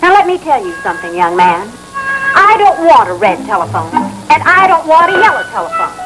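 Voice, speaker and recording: An American actress playing a fussy, sharp-tongued housewife customer, haughty and scolding in an old training film. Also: female voice, a little high, sing-song, fairly quick, loud music behind the voice. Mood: haughty, firm. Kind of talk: 1960s training film acting. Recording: noisy old film soundtrack.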